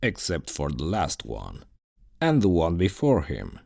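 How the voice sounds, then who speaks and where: Man as a gruff, very deep ex-guard thief. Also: huge pitch swings, a little slow, mellow, no echo, studio quality, full character voice. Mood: teasing. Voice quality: gravelly. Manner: gruff, grumbling, blunt. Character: gruff one-eyed bearded ex-guard swordsman thief with a temper. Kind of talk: fantasy ASMR roleplay acting.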